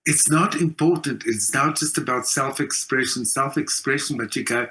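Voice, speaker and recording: A man's voice: mid-range voice, normal speed, sharp tone. A man with a South African accent talking as an interview guest, giving his opinions emphatically and repeating phrases for stress. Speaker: interview guest, performing artist. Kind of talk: opinionated conversation.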